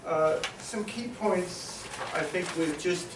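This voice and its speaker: Halting male conference facilitator in an echoey hall. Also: high voice, noisy background. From New Zealand. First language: Maori. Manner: halting, many filler sounds and restarts, summarizing. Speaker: panel facilitator. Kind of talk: conference panel moderation. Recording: echoey hall, mic at a distance.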